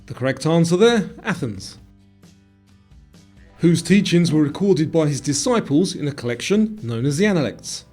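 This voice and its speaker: A man with a fairly high, very lively voice narrates a scripted quiz. He presents each question in a measured way, with a husky edge to the voice. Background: guitar music.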